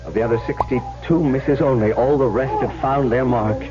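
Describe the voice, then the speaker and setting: An actor playing a tormented young huntsman, gravely and dramatically recounting his tale in old-time radio style. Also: mid-range voice, normal speed, music in the background, natural voice. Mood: anxious. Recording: dull old radio recording.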